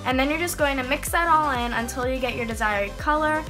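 A high, bright-voiced young woman recovering from a cold talks through a craft tutorial with bubbly enthusiasm. Music plays steadily beneath her voice-over. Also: a little fast. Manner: bubbly and enthusiastic, explaining step by step. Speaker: slime-making channel host. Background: background music.

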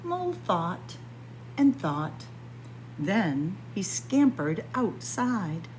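A woman reading a picture book aloud to young children in an expressive manner, addressing them directly as friends. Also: medium pitch, drawn-out words, a little hiss or hum, rough recording. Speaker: library story time reader. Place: indoors in a library, by bookshelves.

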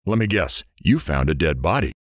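Deep, wry radio host, acted by a man. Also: sing-song, normal speed, dry recording, clean studio sound. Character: wry, interrupting radio talk show host. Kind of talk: audio drama acting.

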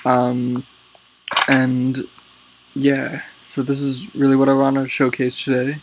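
A man casually walking through his game build, rambling and hesitant with many pauses, his voice a little raspy because he is lying down. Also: medium pitch, completely flat delivery, noisy background. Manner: rambling, hesitant, lots of ums.

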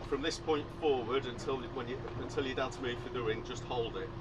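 A man's voice: mid-range voice, steady tone, brisk. A man crewing a sailboat, joking around and patiently coaching a beginner through a tack. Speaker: crew member. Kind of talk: casual banter and sailing instruction. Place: open sailboat deck on the water. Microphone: action camera on the boat. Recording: loud wind and water noise.

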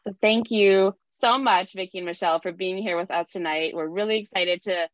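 A woman's voice: medium pitch, normal speed, no room sound. A young woman, a nonprofit's outreach manager, reading a scripted event introduction on a video call. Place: indoor room, video call. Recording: dull lo-fi video call audio.

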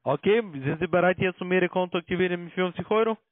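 A man's voice: high-pitched, fast, dry recording. Foreign-accented salesman on a dry phone line. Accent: foreign accent in German. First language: not German. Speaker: call center sales agent. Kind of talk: telephone sales call.

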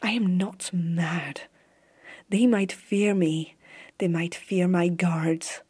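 A woman playing a stern, haunted warrior empress in a hushed, deliberate fantasy ASMR roleplay. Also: fairly low pitch, steady tone, breathy edge, full character voice. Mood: cold, disappointed. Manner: slow, hushed, deliberate dramatic delivery.

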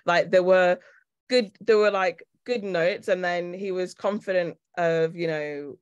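A woman's voice: fairly low pitch, smooth, no echo, highs cut off. Bubbly Yorkshire woman on a video call. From Leeds, England. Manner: bubbly, chatty, quick to laugh. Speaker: actor. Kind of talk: casual chat in a video call Q&A. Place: indoor room at home.

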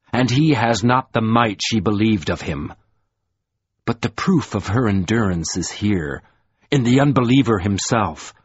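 A man voicing a grave, stern lord who speaks in measured, formal, old-fashioned sentences, on a dull lo-fi recording. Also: medium pitch, husky, no room sound. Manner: measured, formal, archaic speech. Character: solemn grave male lord, stern, weary.

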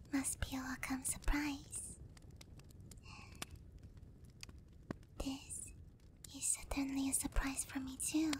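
Slow, whispering demon queen, acted by a woman. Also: high-pitched, rough recording. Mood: teasing, fond. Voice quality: soft, breathy whisper. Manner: slow, teasing, coaxing. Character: giant demon queen, seductive, doting, gently dominant. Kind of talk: whispered ASMR roleplay. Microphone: close ASMR microphone.

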